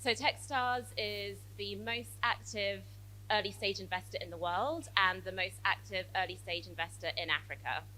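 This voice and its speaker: A woman with a British-tinged accent introducing herself on a live event panel, brisk and confident. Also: medium pitch, fairly even intonation, pushed and bright, a little hiss or hum, poor audio. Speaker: panelist, accelerator managing director. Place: event stage with audience.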